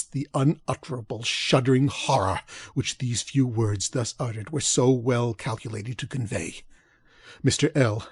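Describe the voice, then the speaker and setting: Guy reading an old horror audiobook, raspy mid voice, formal and deliberate, real dry sound. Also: medium pitch, darkish tone, no room sound. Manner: measured, formal, deliberate phrasing. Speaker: audiobook narrator. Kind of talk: scripted narration of gothic horror fiction.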